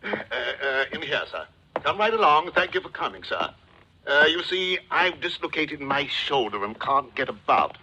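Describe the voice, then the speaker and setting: An actor in a 1940s radio drama playing a wily, jovial middle-aged gentleman villain who talks with pompous, florid formality. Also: on the high side, sing-song, moderate pace, slight background noise, full character voice. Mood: pompous, insistent. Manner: pompous, formal, chuckling, says "sir" constantly. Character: wily, jovial, florid fat-cat gentleman villain posing as coin dealer. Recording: old 1940s radio recording.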